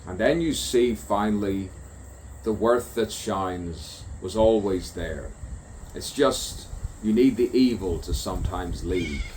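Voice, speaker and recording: A man's voice: on the low side, a little mellow, a little hiss or hum. A man delivering a stream-of-consciousness spoken poem, musing and repeating rhyming phrases. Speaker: poet.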